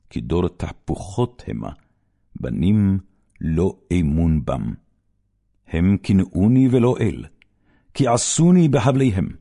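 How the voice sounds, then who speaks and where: A man giving a solemn, declamatory scripture reading, with rhythmic phrasing and dramatic pauses between verses. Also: sits low, dark tone, breathy edge. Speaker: Bible reader.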